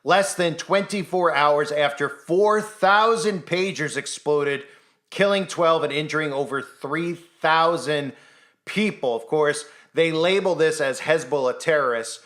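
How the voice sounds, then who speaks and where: A man speaking alone into his mic as host of his own commentary channel. His voice sits a little high for a man. He talks in an animated, skeptical way and keeps firing rhetorical questions at the listener. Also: unhurried. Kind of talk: solo news commentary monologue.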